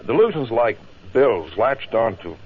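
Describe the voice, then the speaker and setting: An actor playing a calm, cautious military psychiatrist with an American accent, measured and reassuring, in old radio drama style. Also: male voice, mid-range voice, slightly rough. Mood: thoughtful, reassuring. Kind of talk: 1950s radio drama acting. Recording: dull, hissy 1950 radio recording.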